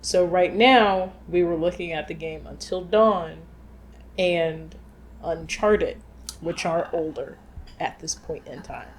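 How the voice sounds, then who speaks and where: A woman with a fairly low voice speaks as a podcast co-host in relaxed back-and-forth chat. Room noise sits behind her voice. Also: slow. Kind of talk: casual chat.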